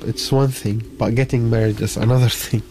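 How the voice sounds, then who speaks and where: A man's voice: medium pitch, fairly even intonation, a little mellow, rough recording. A man from Syria, recalling his story casually as a guest, searching for words in English. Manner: halting, searching for words in non-native English. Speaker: interview guest telling personal story. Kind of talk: casual conversational recollection.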